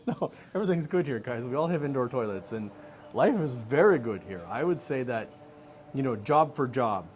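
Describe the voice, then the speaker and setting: A middle-aged American man giving relaxed, joking answers as an interview guest. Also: mid-range voice, normal speed. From United States. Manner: relaxed, joking, laughs easily. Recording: faint background noise.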